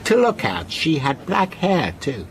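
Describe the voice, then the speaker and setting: Radio drama: genial yet unsettling male storyteller, animated intonation, teasing and deliberate, noisy train background. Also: fairly high pitch, exaggerated voice. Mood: storytelling. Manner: measured, coy, teasing. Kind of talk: radio drama acting, telling a ghost story.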